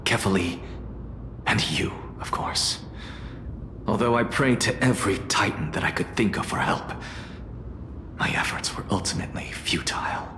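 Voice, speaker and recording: An actor playing a proud, sardonic, world-weary scholar in a video game, speaking with dry, theatrical articulation. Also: male voice, low voice, echoey room, background noise. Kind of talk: dramatic video game voice acting. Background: game music.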